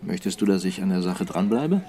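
A wry journalist in a German radio drama. The voice sits a little low, and the talk is conversational and musing, like a well-informed friend sharing what he has. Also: animated, brisk, dark tone. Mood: wry, friendly.

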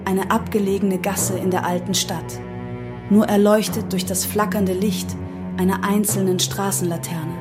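A woman's voice: mid-range voice, little pitch movement, normal speed. Woman reading a crime story over music.

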